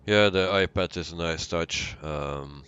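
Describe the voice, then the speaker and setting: Deep-voiced Belgian man on a livestream. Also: fairly bright tone, clean tone, dull audio. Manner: halting, unscripted, answering chat. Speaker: flight simulator live streamer. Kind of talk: casual livestream commentary while playing.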